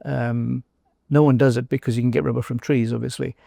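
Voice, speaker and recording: An older man from South Africa with a South African accent, talking thoughtfully in an interview, pausing often with fillers and restarts. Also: mid-range voice, little pitch movement, gravelly, dark tone, dry recording, clean studio sound. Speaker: guest, former company CEO. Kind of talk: casual interview conversation.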